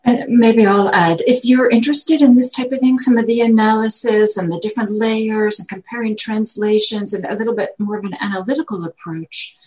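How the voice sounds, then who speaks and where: A woman dharma teacher speaking in an online class, scholarly and animated as she talks about a text she loves. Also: medium pitch, vocal fry, rough recording. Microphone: video call.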